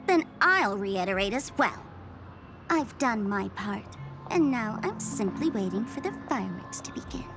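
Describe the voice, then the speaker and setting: A woman's voice: medium pitch, sing-song, unhurried. A woman voicing a playful, mocking masked trickster in a game dub, theatrical and fond of her own jokes. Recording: game cutscene with music underneath.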